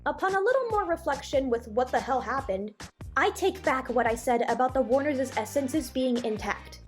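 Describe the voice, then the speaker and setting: A woman's voice: sits high, expressive, normal speed, faint music behind. A woman giving an opinionated video review in an emphatic, blunt, disgruntled way. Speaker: animation reviewer and video host. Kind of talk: opinionated video review, part scripted narration and part off-the-cuff commentary.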